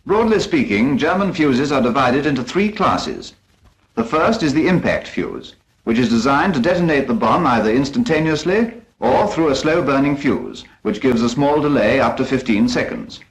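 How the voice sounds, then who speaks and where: A man's voice: medium pitch, very animated, moderate pace, poor audio. A British man narrating a 1940s instructional film from a script in a formal, precise, instructive manner.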